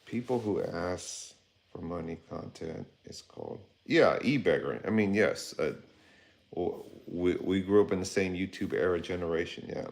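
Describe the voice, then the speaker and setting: A man in his fifties with a very low, deep voice chats loosely on a livestream. He rambles from thought to thought and calls out to his viewers by name. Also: lively intonation, normal speed, vocal fry, darkish tone. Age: 50s. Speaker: livestream host. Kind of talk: casual livestream chat reading viewer comments.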